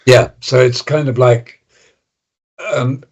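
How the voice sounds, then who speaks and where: A man's voice: medium pitch, steady tone, slow pace, dull audio. A man hosting a podcast interview, friendly and thoughtful, summarizing what his guest says. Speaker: podcast host.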